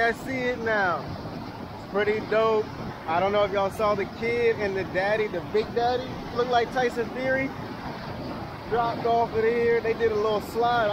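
Young man, high voice, casual easygoing vlogger, colloquial phrasing, outdoor camera audio, faint background noise. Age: young adult. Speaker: travel vlogger. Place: outdoors by a roadside and river waterfall.

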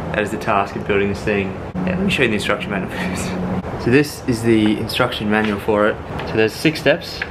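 A young man vlogging casually in a relaxed, chatty, self-mocking way, filmed on a camera mic under a house deck and indoors. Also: fairly low pitch, heavy background noise. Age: young adult. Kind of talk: casual vlog chat. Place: outdoor area under a house deck, then indoor room.

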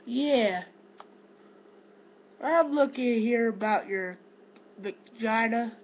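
A teenage male prank caller talking casually in a halting, repetitive, confused manner. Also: very high-pitched. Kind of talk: prank phone call, casual improvised talk. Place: small room at home, indoors. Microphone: webcam or laptop microphone. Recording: dull, noisy webcam microphone.

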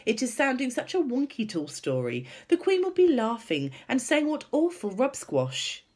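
A woman's voice: on the high side, wildly swooping pitch, moderate pace, darkish tone, husky, voice pushed up, heavily acted. A woman voicing a big, friendly but stubborn male giant who talks in muddled grammar and invented words for a storybook. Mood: doubting.

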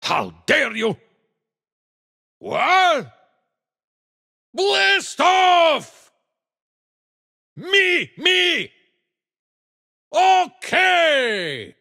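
A big alien mad scientist from a racing game, boastful and theatrical in a thick Russian accent. The voice is high and wildly swooping, with a gravelly rasp. His clipped exclamations trail into a long reverb. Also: huge pitch swings, extremely slow, pauses often, bright, forceful tone, raised voice, full character voice. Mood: excited. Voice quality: gravelly, raspy. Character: big blustery alien mad scientist kart racer, male. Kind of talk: exclamatory video game character voice clips.

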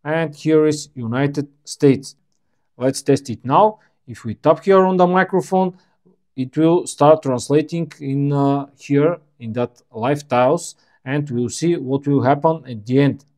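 Bulgarian-speaking man with a mellow voice. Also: on the high side. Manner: unhurried, plainspoken, slightly halting non-native phrasing. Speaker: tech reviewer. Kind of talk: casual screen walkthrough.

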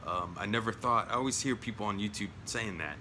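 A man in his thirties chatting casually at home about his film collection, rambling with lots of fillers and jokes, his voice going hoarse. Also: lowish voice, a little fast, background noise. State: losing his voice. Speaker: collection vlogger. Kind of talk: casual home video chat. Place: small room at home, indoors. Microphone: phone or webcam at arm's length.

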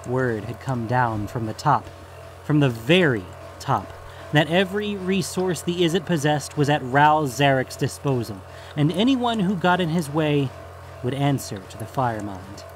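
A man reading scripted fantasy fiction as the narrator of an audio story. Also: mid-range voice.

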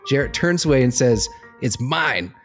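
A man's voice: mid-range voice, expressive, moderate pace. A man narrating an improvised role-play scenario as its game master. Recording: music bed under the voice.

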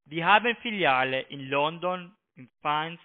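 High-voiced foreign-accented man on phone. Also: expressive. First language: not German. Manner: pushy, repetitive, halting. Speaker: call-centre phone agent. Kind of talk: phone sales pitch in broken German. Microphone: telephone. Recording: tinny narrow phone line.

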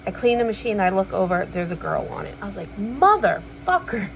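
A woman's voice: mid-range voice, fast, a little mellow, slight background noise, poor audio. A woman in her thirties chatting casually in a rambling, sweary personal vlog recorded in a room at home. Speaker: vlogger. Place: small indoor room at home.

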